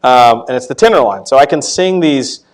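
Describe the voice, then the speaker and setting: Halting man teaching a church class. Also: lively intonation, poor audio. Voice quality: tenor voice, not deep. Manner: halting, many restarts and fillers. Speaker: Sunday school teacher.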